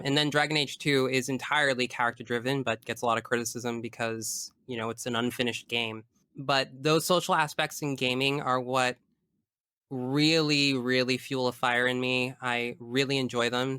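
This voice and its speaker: A man's voice: medium pitch, bright, forceful tone, smooth, no room sound, studio quality. A man in a casual podcast discussion as the guest, explaining his views earnestly and analytically at length.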